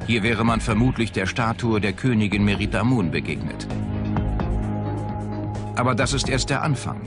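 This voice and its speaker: A man's voice: on the low side, moderate pace. A man reading scripted narration as a documentary narrator. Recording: loud music bed behind the voice. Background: background music.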